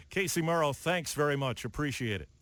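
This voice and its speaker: A man's voice: on the high side, animated, unhurried, a bit bright. A middle-aged American man hosting a radio news special, moving between broadcast interview questions and scripted narration. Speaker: radio news anchor and host.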